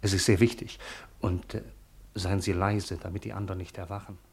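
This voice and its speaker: An actor playing a camp messenger who speaks in a hushed, urgent way in a radio play. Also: male voice, low voice, level tone, a little fast, creaky, a little hiss or hum.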